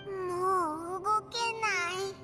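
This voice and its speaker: A woman voicing a tiny, whiny, excitable childlike fairy companion in a video game, squeaky and emotional. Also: extremely slow, exaggerated voice. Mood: tearful, exhausted. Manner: emotional, whining. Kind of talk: Japanese video game voice acting. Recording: loud music behind. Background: loud game music.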